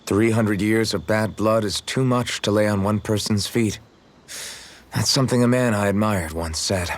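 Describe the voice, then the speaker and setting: A man's voice: fairly low pitch, moderate pace, natural voice. A man playing a modest, good-natured young swordsman with a casual, unpretentious way of talking, in video game dialogue. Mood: relaxed, thoughtful. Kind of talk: English-language video game character dialogue.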